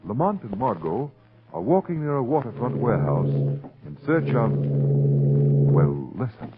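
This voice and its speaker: A man's voice: medium pitch, very animated, measured pace. A man announcing and narrating a 1930s radio drama in a formal, dramatic manner. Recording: dull old 1930s radio recording, faint music.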